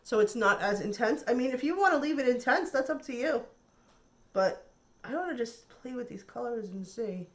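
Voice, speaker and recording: A woman's voice: a little high, expressive, moderate pace. A woman chatting casually through a makeup tutorial, thinking aloud and admiring the colors, her voice a little nasal from a cold. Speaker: beauty vlogger.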